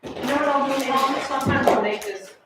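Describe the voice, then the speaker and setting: A woman's voice: high voice, a little fast. A woman chatting casually and telling an everyday story. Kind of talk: casual chat. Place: camp building indoors. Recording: echoey room, distant from the mic, noisy.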